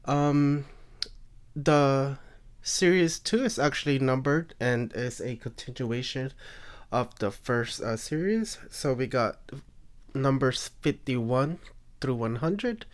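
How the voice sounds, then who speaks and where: Congested guy, slow and halting delivery, lots of filler words, casual card review host. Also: medium pitch. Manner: hesitant, lots of ums and uhs. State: congested, phlegmy, throat-clearing. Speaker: card collecting channel host.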